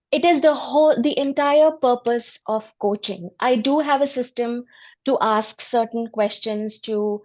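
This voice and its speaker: A woman's voice: fairly high pitch, a little mellow. Woman, middle-aged, from Delhi, coach, interview guest, earnest, reflective, slightly muffled audio. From Delhi, India. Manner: earnest, reflective, laughs often. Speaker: guest, women empowerment coach. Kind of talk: interview conversation.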